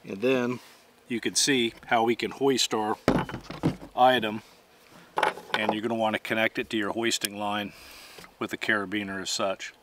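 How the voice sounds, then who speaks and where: A man's voice: on the low side, little pitch movement, moderate pace, long pauses, noisy background. A middle-aged man giving methodical, plainspoken how-to instruction outdoors as he demonstrates gear. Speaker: host and demonstrator.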